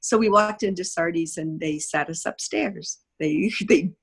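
A woman's voice: lowish voice, measured pace, slightly rough. A woman speaking as a podcast guest in a casual interview, telling anecdotes in a chatty way and acting out remarks. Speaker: podcast guest, theatre producer. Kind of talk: animated storytelling in casual interview.

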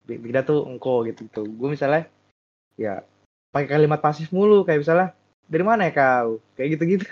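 A young man talks in a relaxed group chat, his voice a touch higher than most men's. He stumbles and repeats himself as he tells his story. The sound is dull and lo-fi. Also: brisk. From Sumatra. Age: young adult. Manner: animated, hesitant storytelling with repeated words. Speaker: student. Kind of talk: casual chat.

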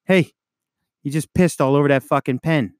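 A man's voice: a little high, normal speed, no room sound, studio quality. A young adult man, a police officer co-hosting a podcast, chatting casually, swearing often and laughing through stories. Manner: swears a lot, laughs, tells war stories. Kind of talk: casual profane chat.

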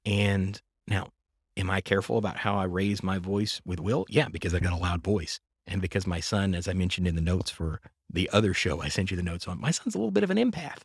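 A low-voiced man with a husky edge talks on a close, dry microphone. He hosts a faith podcast in an earnest, reflective way, linking one thought into the next. He sounds middle-aged and unhurried. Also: dry recording. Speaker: podcast host. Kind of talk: casual faith-based podcast conversation.

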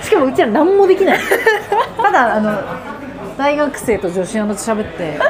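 A woman co-hosting a casual chat, joking at her own expense in an animated way. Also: high voice, normal speed. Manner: animated, self-deprecating joking. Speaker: podcast co-host and radio presenter. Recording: chatter of people behind. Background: crowd noise of an eatery.

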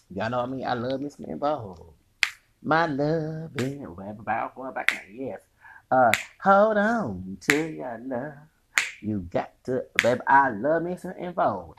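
A man's voice: mid-range voice, expressive, normal speed, creaky, noisy background. A man hosting a solo podcast in a rambling, profane rant, with a feminine-sounding voice and frequent laughing, sing-song asides. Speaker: podcast host.

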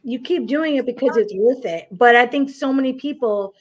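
A woman hosting a podcast interview, talking quickly in a chatty way with many restarts. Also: a little high. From New York. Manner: fast, chatty, frequent restarts and fillers. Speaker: podcast host. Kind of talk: casual interview chat.

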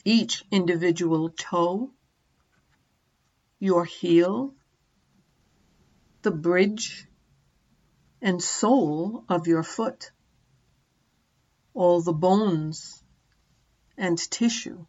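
A middle-aged woman narrating a guided meditation as a teacher, gently and in short measured phrases with long pauses. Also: lowish voice, lo-fi sound.

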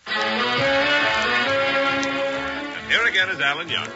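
A man's voice: high-pitched, expressive, quick pace, vocal fry. A man working as a radio announcer, reading scripted advertising and credits in a 1940s announcing style. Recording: muffled 1940s radio broadcast, music and audience behind.

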